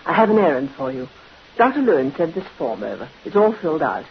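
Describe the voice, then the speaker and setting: A woman's voice: sits low, sing-song, fairly quick. A woman playing an anxious, proper nurse in vintage radio drama, formal and earnest. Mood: brisk. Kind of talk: 1950s radio drama acting. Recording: dull old radio recording with hiss.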